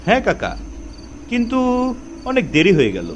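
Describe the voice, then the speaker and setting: A polite young village son from a spooky audio story, acted by a man. His voice sits high and swings in a lively way. Faint night noise with crickets runs behind him. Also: normal speed, heavily acted. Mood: polite, earnest.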